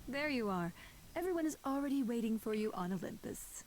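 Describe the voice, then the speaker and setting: Woman as a high, lilting, gracious goddess guide. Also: lively intonation, a little fast, a little mellow, natural voice, rough recording. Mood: amused welcome. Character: gracious, amused goddess guide, teasing and theatrical. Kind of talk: video game voice acting.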